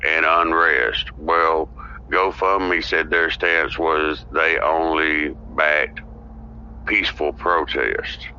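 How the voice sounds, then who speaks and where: Very deep, forceful man on lo-fi audio. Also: fairly even intonation, takes it slowly. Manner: agreeable, leans on "you know". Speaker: podcast guest. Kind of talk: casual political chat. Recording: muffled lo-fi with faint noise.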